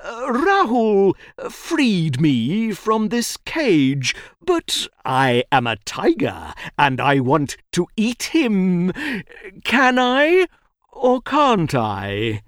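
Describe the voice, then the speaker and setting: A woman voicing a large, sly male tiger in a storybook, with drawn-out wheedling and growls. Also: mid-range voice, huge pitch swings, no room sound, studio quality, voice pushed up, exaggerated voice. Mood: sly, haughty.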